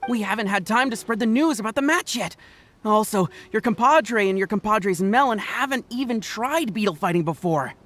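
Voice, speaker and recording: A practical young-gang underling from a video game, speaking at medium pitch. She sounds cautious and matter-of-fact, with faint music behind her. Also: animated, moderate pace, fairly bright tone. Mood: cautioning. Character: practical, level-headed female gang subordinate, cautious. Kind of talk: video game character acting.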